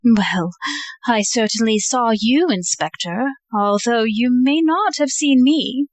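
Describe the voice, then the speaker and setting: A woman's voice: a little high, slow, no echo. A woman playing a sophisticated, unfazed titled lady in an audio play, poised and composed.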